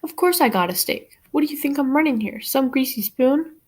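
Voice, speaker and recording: A woman's voice: high voice, animated, brisk. A woman playing a sassy, no-nonsense diner waitress in a student radio play.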